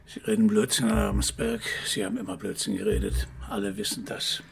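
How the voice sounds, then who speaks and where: Gravelly, haughty zealot villain, contemptuous and preachy, radio crime play. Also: male voice, lowish voice, sing-song, brisk, a little hiss or hum, full character voice. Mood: cold contempt. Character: pompous, disdainful male zealot and killer, cornered. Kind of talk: German radio crime drama acting.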